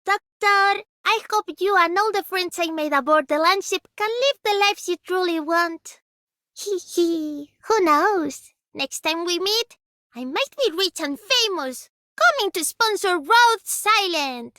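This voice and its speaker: A woman's voice: very high-pitched, animated, measured pace, bright, forceful tone, no echo, studio quality, heavily acted. A woman voicing a hopeful, dreamy, giggly young girl character for a video game. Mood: cheerful, dreamy.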